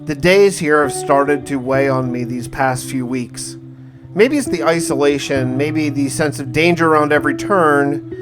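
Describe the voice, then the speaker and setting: A man's voice: fairly high pitch, unhurried, music in the background. A man narrating his survival gameplay like a diary, telling it casually but dramatically, with wry asides. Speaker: gamer narrating a survival challenge.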